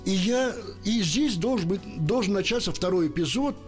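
Older guy from ukraine spinning a story, pitch jumping all over, kinda high voice, faint music under it. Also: normal speed. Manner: lively anecdotal storytelling, acts out quoted voices. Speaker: interpreter, interview guest. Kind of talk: storytelling in a radio interview.

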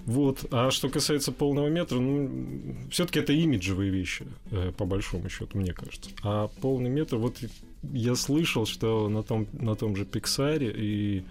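A man speaking informally as an interview guest, searching for words in a halting, slangy, colloquial way. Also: mid-range voice, creaky, a little mellow. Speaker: interview guest, animation artist.

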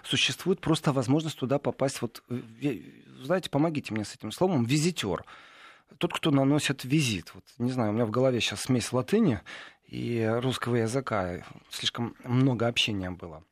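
A man answering interview questions in a relaxed, digressive way, with many fillers and asides. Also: medium pitch, a little fast, no room sound, studio quality. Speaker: guest describing parliamentary assembly.

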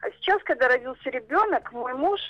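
A woman's voice: high voice, animated, brisk, no room sound, highs cut off. A woman in her mid-thirties calling a radio show by phone, telling her personal problem hesitantly and searching for words. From Russia. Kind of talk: spontaneous account of a personal problem. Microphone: telephone.

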